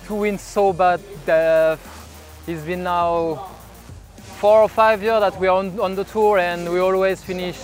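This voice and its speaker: A man from France, an athlete giving a casual interview answer. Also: sits high. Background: faint background music.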